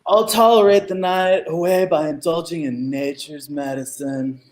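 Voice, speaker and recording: A man's voice: fairly high pitch, fairly even intonation, moderate pace, darkish tone, lightly acted. A middle-aged man playing an irresponsible, game-obsessed stoner superhero in a comedy radio play over a video call. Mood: unbothered. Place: room at home, video call.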